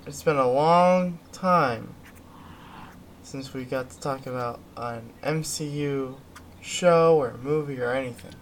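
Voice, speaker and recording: A man co-hosting a podcast, chatting casually about pop culture in a halting way with drawn-out words. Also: medium pitch, slight background noise. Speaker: podcast co-host.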